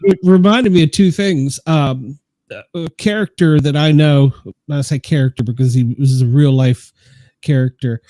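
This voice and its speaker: Host: man, high lively voice, dry close sound, animated storyteller. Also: moderate pace, no echo. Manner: animated storyteller, laughs while telling. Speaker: podcast host. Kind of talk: casual podcast conversation.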